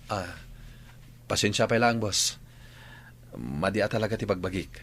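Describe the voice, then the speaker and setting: Man acting a very deep-voiced sly bandit. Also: unhurried, fairly bright tone, dry recording. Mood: feebly pleading. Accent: Ilocano. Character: sly male gang member, secretly feigning weakness. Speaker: radio drama actor. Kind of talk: radio drama acting. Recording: noisy ambience behind the voice.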